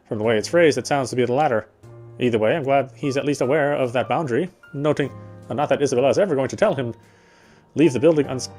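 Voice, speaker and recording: Male game player as narrator: rapid read-aloud delivery with occasional stumbles, music underneath. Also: medium pitch, a little mellow. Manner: stumbles and rereads phrases. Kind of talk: reading visual novel narration aloud.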